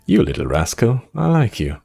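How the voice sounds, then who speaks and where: A man's voice: mid-range voice, wildly swooping pitch, normal speed, gravelly, dark tone, pitched above the performer's own voice. A man voicing a blunt, joking adventurer in a fantasy game, breezy, chummy and teasing.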